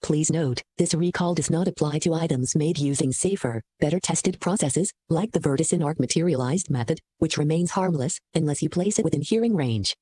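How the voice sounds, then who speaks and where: An actor playing a solemn emergency announcer, reading a mock safety bulletin for comedy radio in a formal, deadpan manner. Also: medium pitch, a little fast, few pauses, slightly rough, no echo, close to an everyday voice. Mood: deadpan, official. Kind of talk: scripted parody public safety announcement.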